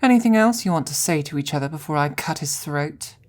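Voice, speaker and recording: A woman's voice: low voice, animated, brisk, a little mellow. A woman playing a brash, impatient hired bandit in a fantasy audio roleplay, speaking bluntly and with menace. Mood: menacing, impatient.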